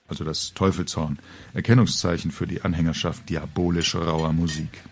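Deep-voiced man reading a wry story. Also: a little mellow. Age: middle-aged. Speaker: author reading own story. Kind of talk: scripted humorous narration, audio-book reading.

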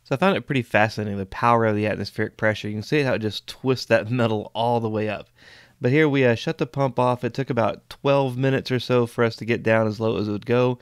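A man's voice: mid-range voice, fast, smooth, no room sound. Man explaining HVAC setup, dry voice-over. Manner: plain, step-by-step explaining with occasional "uh". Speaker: HVAC instructor.

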